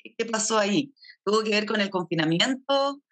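A woman's voice: medium pitch, animated, moderate pace, fairly bright tone. Podcast host: woman, mid-range voice, friendly, asks questions with small hesitations. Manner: friendly, some filler hesitations. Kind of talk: podcast interview.

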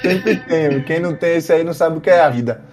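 A man's voice: on the high side, brisk, darkish tone. A man with a Brazilian Portuguese accent in casual chat, talking in a slangy, joking way. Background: background music.

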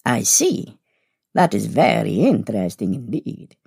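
A woman playing an old, chatty, genteel male vampire in an audio story, husky and creaky, with arch, exclamatory, deliberate phrasing. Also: very deep voice, big pitch swings, darkish tone, dry recording, clean studio sound, exaggerated voice. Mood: intent, musing. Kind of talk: acted audio story dialogue.

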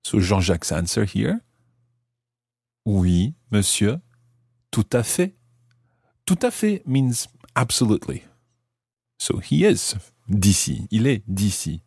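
A man hosting a scripted language lesson, explaining clearly and repeating phrases slowly and deliberately. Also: fairly low pitch, sing-song, a little mellow, dry recording, clean studio sound. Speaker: language teacher and host.

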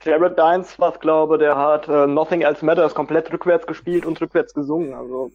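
A lo-fi, slightly muffled recording of a man with a fairly high voice. He chats informally as a participant in a group podcast. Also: fairly even intonation, normal speed. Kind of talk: casual group chat. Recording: dull, lo-fi audio.

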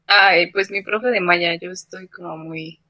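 A woman's voice: fairly low pitch, fairly even intonation, a little slow, pushed and bright, no room sound. A woman, a guest in a casual chat, chatty and peppering her talk with fillers. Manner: chatty, lots of fillers like ajá.

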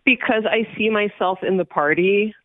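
A woman's voice: medium pitch, moderate pace, dry recording. A woman in her forties whose first language is Hebrew, speaking earnestly as a political candidate and guest, repeating phrases for emphasis. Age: 40s. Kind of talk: phone interview on radio. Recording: phone line.

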